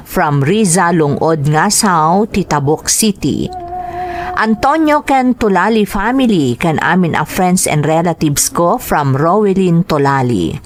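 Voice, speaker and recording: A woman's voice: lowish voice, slight background noise. A woman with a Filipino accent hosting, reading out greetings and letters in a radio style.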